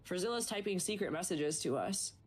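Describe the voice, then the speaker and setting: A woman's voice: lowish voice, expressive, brisk, slightly rough, faint music behind, low-quality recording. A woman casting an esports stream, chatting in a bubbly, upbeat way with her co-caster. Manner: bubbly, chatty, promotes merch. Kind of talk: casual esports stream commentary.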